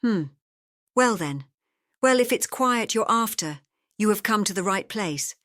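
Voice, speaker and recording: Brisk, watchful landlady, played by a woman. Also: mid-range voice, expressive, fairly bright tone, no room sound, studio quality. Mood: guarded, knowing. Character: brisk, watchful English landlady of an old block. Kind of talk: audio drama acting.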